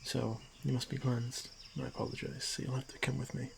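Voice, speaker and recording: A man playing a stoic, honor-bound orc warrior who is gently protective, speaking in hushed, halting, formal phrases for a fantasy ASMR roleplay. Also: mid-range voice, little pitch movement, creaky. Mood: solemn, firm. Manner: hushed, halting, formal speech with frequent pauses. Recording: noisy track with night insect ambience. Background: crickets and insect ambience.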